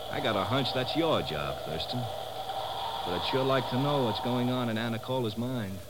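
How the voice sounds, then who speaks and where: A male actor plays a folksy, suspicious pilot in a vintage radio adventure. He is emphatic, with a lively mid-range voice. Clear noise from the old recording surrounds him. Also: mid-range voice, moderate pace, lightly acted. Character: blunt, suspicious American bush pilot, quick with theories. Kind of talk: 1950s radio drama acting. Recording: noisy 1950s radio drama recording.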